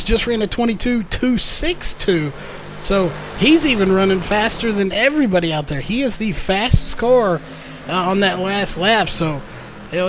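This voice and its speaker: High-voiced man calling a race. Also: noisy background. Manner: many fillers and self-corrections. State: slightly losing his voice. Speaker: play-by-play commentator. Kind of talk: live race commentary.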